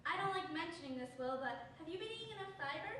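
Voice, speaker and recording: A woman's voice: very high-pitched, animated, fast talker, bright, forceful tone, a little hiss or hum, exaggerated voice. A young woman playing a wholesome, solicitous housewife in an old-time radio commercial performed on stage. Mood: concerned. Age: young adult. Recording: very echoey distant stage recording.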